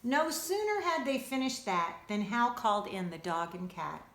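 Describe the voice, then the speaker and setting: A woman reading a rhyming children's story aloud in an expressive, rhythmic, sing-song way, like a teacher. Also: mid-range voice, moderate pace, slight background noise. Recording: roomy, echoing indoor sound.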